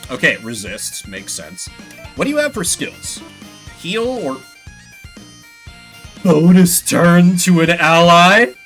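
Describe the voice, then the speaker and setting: A man doing casual live gameplay commentary as a streamer, thinking out loud in an analytical way. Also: fairly high pitch, sing-song, slow pace, long pauses, faint music behind. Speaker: game streamer.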